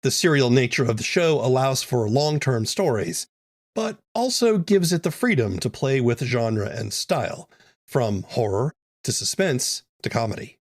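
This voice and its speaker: A man hosting a podcast, reading scripted announcements in a clear presenting manner. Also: mid-range voice, big pitch swings, unhurried, no echo, clean studio sound. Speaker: podcast host.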